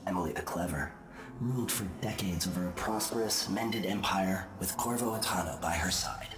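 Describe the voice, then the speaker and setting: An actor playing an enigmatic, detached storyteller, narrating a video game epilogue in a measured, solemn manner. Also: male voice, sits low, close to an everyday voice. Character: enigmatic, detached male storyteller of an empire's fate. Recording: roomy echo, music behind.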